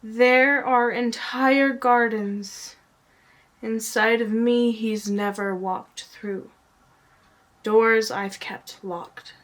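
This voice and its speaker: A woman giving a dramatic spoken-word reading as a poet, earnest and often hushed, with drawn-out phrasing and pauses. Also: a little high, steady tone.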